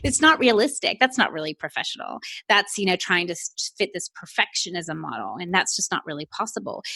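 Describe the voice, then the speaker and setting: A woman's voice: lowish voice, animated, fairly quick, gravelly, sharp tone, no echo. Passionate North American woman coach. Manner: passionate, explanatory, with many "you know" fillers. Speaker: business coach guest. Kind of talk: casual interview chat.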